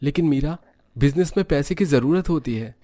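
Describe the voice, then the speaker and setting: A man's voice: a little high, moderate pace, dark tone, no echo, lightly acted. A man playing a busy, practical young husband and businessman in a dramatized moral story. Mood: practical, matter-of-fact.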